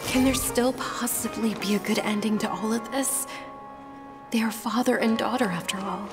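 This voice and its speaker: An actress plays a young noblewoman from a video game, caring and earnest. She speaks politely and gently in a fairly high, refined voice, with music clearly audible behind her. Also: steady tone, moderate pace, lightly acted. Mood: wistful. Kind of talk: video game character acting.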